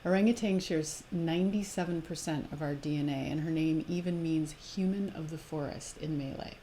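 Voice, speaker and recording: A woman's voice: deep voice, normal speed, warm tone, low-quality recording. A woman hosting a live spiritual presentation, moving between informal asides and reading aloud. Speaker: host of a live spiritual oracle card presentation. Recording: noticeable background noise, live stream.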